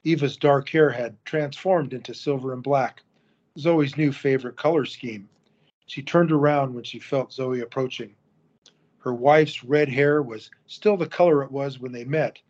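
A man narrating an audio drama in a steady storytelling manner. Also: medium pitch, measured pace. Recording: dull lo-fi recording.

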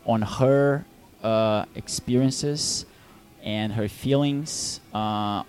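Man, Brazilian Portuguese accent, young adult, low-pitched, hesitant, full of fillers, interview answers. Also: darkish tone. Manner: hesitant, many fillers and restarts. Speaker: film director.

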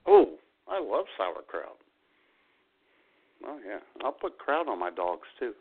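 A man's voice: on the low side, sing-song, takes it slowly, gravelly, breathy edge. A man co-hosting a radio show with droll, casual comedic banter. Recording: tinny phone-line sound.

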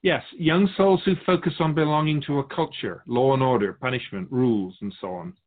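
A man playing a wise, wry otherworldly keeper of souls in a scripted dialogue, measured and teasing, fond of rhetorical questions. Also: medium pitch, phone-quality sound, lightly acted. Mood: instructive.

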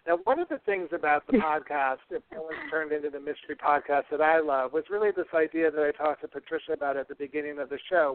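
A man hosting a podcast interview in a casual, chatty way, effusive and heartfelt. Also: on the high side. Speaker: podcast host. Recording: narrow phone-like sound.

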